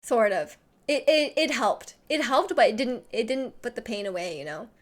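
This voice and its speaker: A woman's voice: a little high, lively intonation, moderate pace. A young woman streamer with a slight lisp, chatting casually and rambling with lots of "like" while reading chat messages. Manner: rambling, heavy use of "like".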